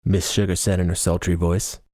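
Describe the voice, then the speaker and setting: A man's voice: sits low, flat delivery, brisk, a little mellow, no room sound, clean studio sound. A man reading a first-person novel as scripted audiobook narration.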